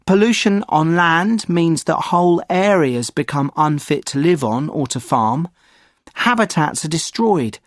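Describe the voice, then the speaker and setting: A man giving a measured, opinionated sample answer in a scripted exam speaking recording. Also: a little high, dry recording, studio quality.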